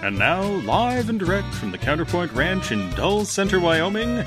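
A man's voice: high-pitched, big pitch swings, moderate pace. A man playing a dramatic announcer narrating an old-time radio western. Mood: grand. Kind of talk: 1940s radio announcing parody. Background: music.

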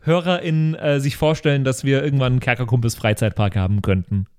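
A man hosting a casual podcast chat, talking in a halting, rambling, enthusiastic way. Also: mid-range voice, steady tone, smooth. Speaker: podcast host.

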